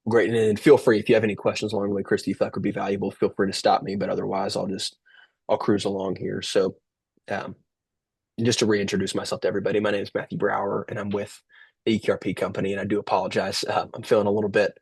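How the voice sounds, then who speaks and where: A man's voice: lowish voice, quick pace, gravelly, husky, no room sound. A young man with a head cold and a nasal voice, casually presenting a webinar over a video call. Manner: relaxed, filler-heavy, restarts. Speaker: webinar host.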